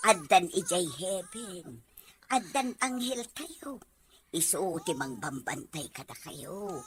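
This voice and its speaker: Woman acting an expressive, caring older Filipino mother. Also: medium pitch, a little hiss or hum. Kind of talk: Ilocano audio drama acting.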